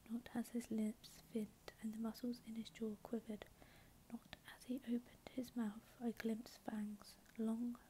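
A woman reading a novel aloud in a soft, unvoiced ASMR whisper. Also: fairly high pitch, slight background noise, rough recording. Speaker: narrator.

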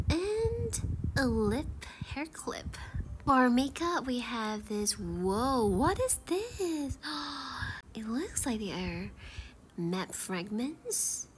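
A woman with a stuffy, blocked nose chatting casually as she previews game outfits, gushing over items and often dropping to a soft whisper. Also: a little high, a little hiss or hum, low-quality recording. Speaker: gaming YouTuber. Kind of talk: casual gacha preview commentary.

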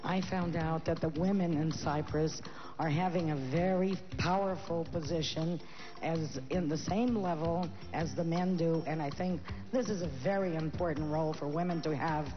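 An interpreter relaying questions and answers on a pageant stage in a brisk, businesslike way. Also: medium pitch, fairly even intonation. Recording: muffled broadcast with music.

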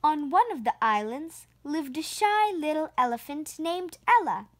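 A woman reading a children's storybook aloud in an expressive, deliberate narrating style. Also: high-pitched, no echo. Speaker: storybook reader.

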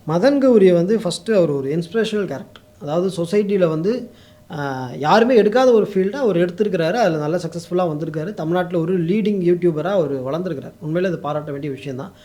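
A man's voice: sits high, fast talker, mellow. A man with a Tamil Nadu accent giving opinionated interview answers as a guest commentator, mixing in English words. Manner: code-mixes English words into speech.

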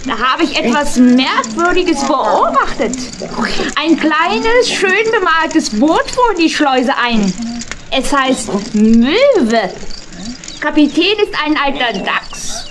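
A woman voicing a fawning, sneaky male cat informer in a children's radio play, obsequious and drawn-out. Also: sits high, big pitch swings, heavily acted. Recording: noisy old audio drama record.

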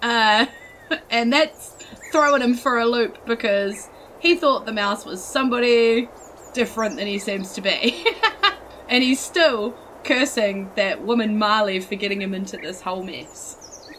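A woman's voice: fairly high pitch, measured pace, a bit bright. A woman with a New Zealand accent, giggly and casual, narrating as a player in a tabletop game. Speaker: tabletop role-playing player. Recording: faint background noise.

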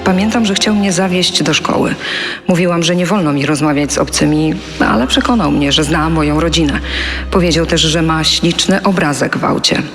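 A subdued middle-aged witness in a true-crime radio drama. The voice is fairly high and rings in a reverberant hall, over faint music. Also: male voice, normal speed, fairly bright tone. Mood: subdued, recollecting. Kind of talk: acted testimony in audio drama.

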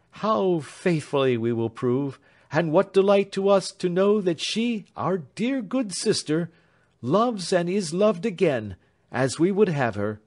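A man's voice: high-pitched, a little mellow, pitched above the performer's own voice. A man reading an audiobook voices an earnest young man with a fervent, tender delivery. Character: earnest young man, loving, taking his leave.

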